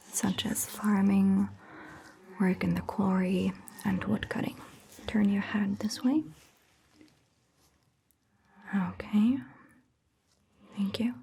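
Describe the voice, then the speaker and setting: Whispering, very slow vampire doctor, played by a woman. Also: mid-range voice, a little hiss or hum. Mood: gentle, attentive. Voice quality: breathy, mostly whispered. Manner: gentle, slow, measured. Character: calm, attentive female vampire doctor examining a patient. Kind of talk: soft-spoken ASMR roleplay.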